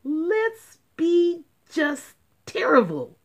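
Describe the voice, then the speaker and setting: A woman puts on wide-eyed schoolchildren from a storybook. The voice leaps high and swings around, eager and exclaiming like an unruly class. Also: wildly swooping pitch, drawn-out words, heavily acted. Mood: gleeful, mischievous.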